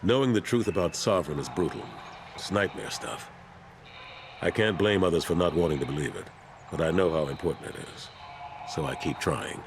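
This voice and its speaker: An older man acting a dutiful military statesman in a video game, measured, earnest and resigned. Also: sits low, noisy background. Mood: weary, earnest.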